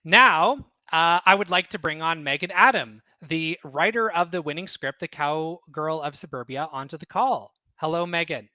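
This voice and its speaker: A man's voice: fairly high pitch, moderate pace, few pauses, sharp tone, no room sound, low-quality recording. A man hosting an online theatre event, introducing speakers and interviewing guests.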